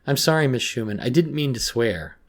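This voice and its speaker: A man's voice: on the low side, lively intonation, normal speed, slightly rough, voice pushed up. Haunted schoolgirl, frightened and pleading, voice pushed well up by a male narrator, for a horror story. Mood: distraught, pleading. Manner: pleading, emphatic, agitated. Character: frightened, distraught teenage schoolgirl haunted by nightmares.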